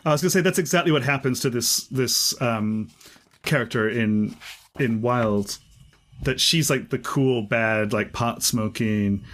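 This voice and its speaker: A man's voice: medium pitch, measured pace. Chatty man discussing a book. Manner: animated, enthusiastic, many fillers like "like". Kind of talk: casual conversation.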